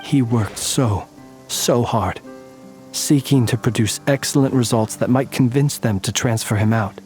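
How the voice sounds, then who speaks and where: Game cutscene role, male actor: composed military man, mid-range voice, formal measured delivery, grave and mysterious, over music. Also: mid-range voice, lightly acted. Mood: somber, reflective. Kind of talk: video game English dub acting.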